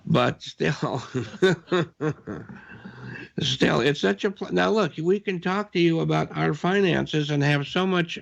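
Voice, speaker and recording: A man's voice: on the high side, takes it slowly, gravelly, highs cut off. A man hosting a radio talk show, chatting casually and rambling in an easygoing way.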